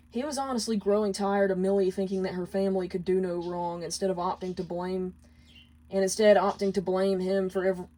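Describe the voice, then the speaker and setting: A woman's voice: on the low side, warm tone, rough recording. A woman reading a story aloud as scripted narration. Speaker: fan fiction reader.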